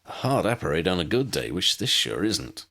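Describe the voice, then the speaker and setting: A man's voice: sits low, sing-song, moderate pace, fairly bright tone, exaggerated voice. A man voicing a laid-back, puzzled young wizard in a story, informal and wry.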